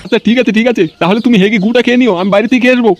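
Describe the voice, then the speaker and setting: Man doing a shrill, cursing, bad-tempered cartoon father. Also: steady tone, racing, very noisy. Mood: sour, dismissive. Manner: scolding, threatening, crude curse-laden speech. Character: bad-tempered, stingy, abusive middle-aged village father. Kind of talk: comic cartoon dialogue.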